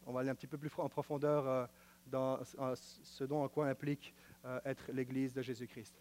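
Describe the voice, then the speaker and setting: A man with a Quebec French accent preaching a sermon in an emphatic, repetitive style, swinging between loud declaiming and quiet, close talk. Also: mid-range voice, flat delivery, brisk.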